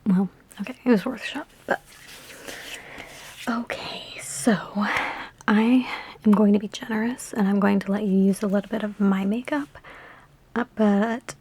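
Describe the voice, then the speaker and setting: A woman in an ASMR roleplay speaking in a soft, breathy whisper mixed with hushed talk, with a sassy, teasing, chatty big-sister manner, close to the microphone. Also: mid-range voice, fairly even intonation, unhurried, pauses often. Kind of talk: ASMR personal attention roleplay.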